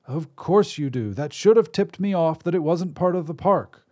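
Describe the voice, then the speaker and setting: Male narrator doing a kindly, reedy old wizard, pitched up, eager to please. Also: lively intonation, normal speed, a little mellow. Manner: polite, contrite, eager to please. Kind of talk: storybook reading.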